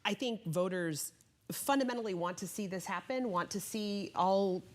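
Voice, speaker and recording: A woman's voice: medium pitch, normal speed. An American woman giving conversational political commentary on a panel, emphatic and colloquial in how she talks.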